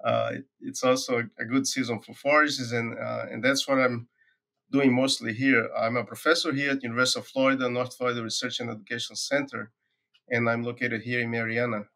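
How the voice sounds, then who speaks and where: A middle-aged man from Brazil with a Brazilian accent, a university agronomy professor answering interview questions in a relaxed, thoughtful way, pausing often with "uh" and repeating words as he finds them. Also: medium pitch, creaky. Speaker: forage agronomy professor, guest.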